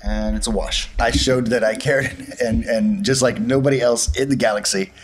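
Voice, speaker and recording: A man's voice: medium pitch, fairly even intonation, moderate pace, slightly rough. A man casually describing his character's actions as a tabletop game player.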